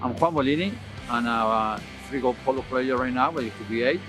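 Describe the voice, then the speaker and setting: A man's voice: medium pitch, quick pace. A man from Argentina giving casual interview answers as a polo player. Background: guitar music.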